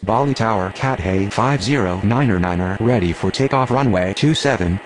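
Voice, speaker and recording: A man's voice: lowish voice, moderate pace, natural voice. A man playing a pilot and air traffic controller, giving formal, clipped radio callouts in standard aviation phraseology. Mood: businesslike.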